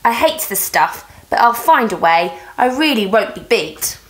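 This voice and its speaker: A woman's voice: fairly high pitch, big pitch swings, a little slow, low-quality recording. A woman voicing a small, meek young male bee in a children's story, stammering a little. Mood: disgusted.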